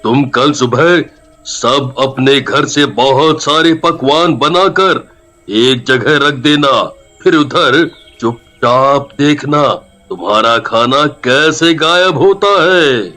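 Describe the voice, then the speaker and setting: A man voicing a calm village holy man in a cartoon, giving slow, measured instructions. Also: mid-range voice, soft background music.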